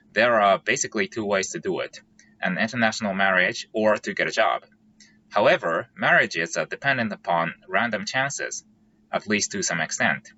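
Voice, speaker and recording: A man's voice: sits low, sharp tone, highs cut off, a little hiss or hum. A man from Japan, giving a careful, methodical scripted explainer as a vlogger. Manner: careful, measured and methodical, lays out points in order.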